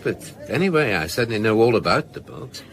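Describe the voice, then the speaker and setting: A man's voice: on the low side, sing-song, brisk. A man playing a self-assured, drily flippant British crime novelist in a naturalistic radio drama. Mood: affable. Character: smooth, self-assured middle-aged male crime novelist.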